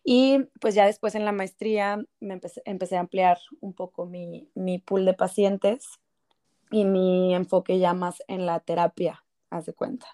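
A woman with a Mexican Spanish accent, a clinical psychologist speaking as a guest in a casual podcast interview, soft-spoken and hesitant. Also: lowish voice, fairly even intonation, a little slow.

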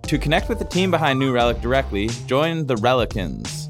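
A man's voice: mid-range voice, animated, a little fast. A man reading scripted podcast sponsor messages as the show's host. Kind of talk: scripted ad read. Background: guitar music.

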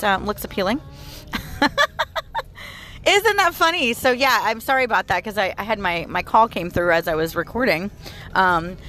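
A hoarse, tired-sounding woman in her thirties chatting casually to listeners while driving her car. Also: mid-range voice, a bit bright. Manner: chatty, bubbly, addresses listeners as "you guys". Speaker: audio blog host. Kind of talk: casual daily vlog chat. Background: car engine and road noise.

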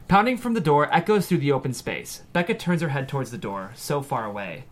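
Mid-pitched man reading stage directions. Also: very animated, a little fast. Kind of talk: scripted narration at a screenplay table read.

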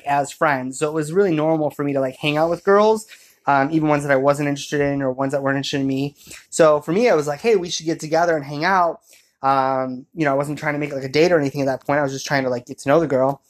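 Young male co-host, chatty and informal, a playful rambling storyteller. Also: on the high side. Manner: jokey, rambling, animated retelling with quoted asides. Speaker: co-host telling the couple's story.

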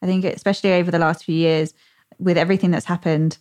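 A woman's voice: fairly low pitch, little pitch movement, fast talker, darkish tone. A woman hosting a podcast, asking loose, rambling questions in a casual way. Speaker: podcast host.

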